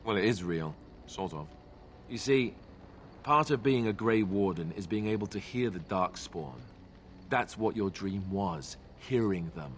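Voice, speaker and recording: An actor playing an easygoing young warrior in a fantasy video game, wry, self-deprecating and casual. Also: male voice, on the low side, expressive, measured pace, slight background noise. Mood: gentle, explaining.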